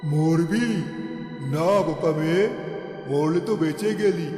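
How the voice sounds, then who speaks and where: Guy doing a evil cartoon wizard, high voice, gloating n taunting, big echoey hall, music behind. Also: unhurried, close to an everyday voice. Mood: menacing. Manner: menacing, taunting, theatrical. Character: cruel gloating male wizard casting a curse. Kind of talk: cartoon fairy-tale villain acting.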